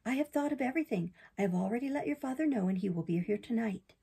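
A woman voicing a kind, motherly fairy in a storybook reading, speaking with calm, tender reassurance. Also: a little high, quick pace, gravelly, husky, exaggerated voice.

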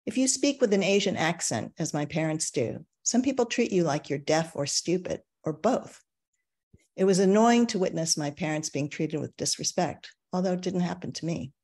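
An older woman from the United States reads a personal essay over a video call in a measured, reflective way. Her voice sits low for a woman, and she leans into emphatic moments. She sits in a book-lined home study. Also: animated, a little mellow, breathy edge. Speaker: essayist reading her work. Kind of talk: reading a personal essay aloud.

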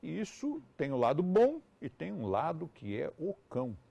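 A man's voice: on the high side, wildly swooping pitch, moderate pace, creaky, dark tone. A man in his seventies with a Brazilian Portuguese accent, giving a live lecture to students in a conversational way with ironic asides. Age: 70s. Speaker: yoga teacher.

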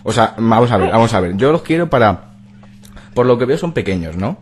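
A man's voice: mid-range voice, sing-song, fairly quick, darkish tone. A young man with a Spain Spanish accent making a prank phone call in casual, stumbling, repetitive phrases. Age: young adult. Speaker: prank caller and video host. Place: small bedroom indoors. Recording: noisy hum behind voice.

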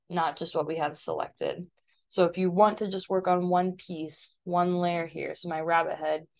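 An American woman instructor talking informally through a software demonstration, step by step, as she does each action. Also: on the low side. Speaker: instructor giving a software tutorial. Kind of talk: casual step-by-step screen demonstration.

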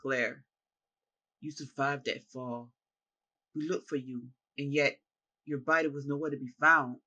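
A narrator voicing a seasoned male martial arts master who talks in a low, steady, explaining way. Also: unhurried, slightly rough, dull audio. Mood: solemn.

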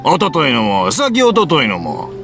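A man's voice: a little high, huge pitch swings, a little slow, full character voice. An actor playing a cheerful, hard-of-hearing old fireworks-maker father with a Kansai accent, folksy and fond of storytelling. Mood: jovial. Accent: Kansai Japanese. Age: older. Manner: folksy, talks past others, storytelling. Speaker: shopkeeper. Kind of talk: game voice acting. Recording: game audio with music behind.

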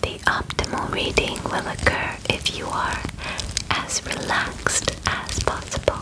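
Girl doing a soothing female alien examiner, asmr roleplay, whispering super slow and formal, right up close. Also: close to an everyday voice, low-quality recording. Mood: calm, soothing. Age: 20s. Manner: slow, soft, formal, measured instructions. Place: small bedroom, indoors. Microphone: close mic, just in front of her.

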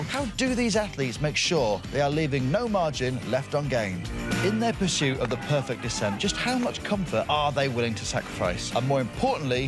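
A man in his thirties hosting a scripted studio explainer, playful with punning asides. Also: fairly high pitch. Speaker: show host.

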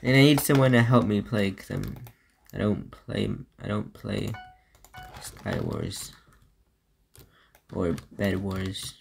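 A woman with a Southern drawl and an unusually low, deep voice narrates her own gaming session. She drifts from thought to thought at an unhurried pace, chatting to herself. Faint keyboard clicking sits behind her. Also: big pitch swings, vocal fry, a little mellow, slight background noise. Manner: rambling, talks to herself between moves. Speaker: gaming YouTuber. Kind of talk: solo gameplay commentary.

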